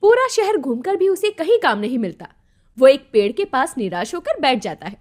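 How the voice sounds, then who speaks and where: A woman's voice: sits high, very animated, normal speed, darkish tone. A woman narrating a scripted moral story as its storyteller.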